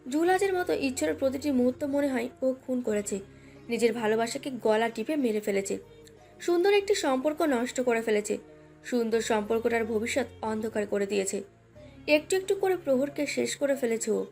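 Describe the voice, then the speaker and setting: Female narrator for romantic audio fiction, high register, scripted read with a light music bed underneath. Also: animated, normal speed, darkish tone. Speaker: story narrator. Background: background music.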